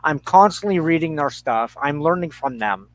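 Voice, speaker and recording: A middle-aged man in a reflective conversation, speaking haltingly and thoughtfully with pauses mid-sentence. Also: fairly high pitch, lively intonation, no room sound.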